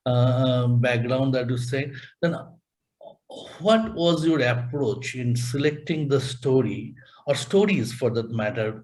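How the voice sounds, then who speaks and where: A middle-aged man interviewing a guest on a video call, halting, with many ums and repeated words. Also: medium pitch, a little mellow, rough recording. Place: small indoor room. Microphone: webcam on video call.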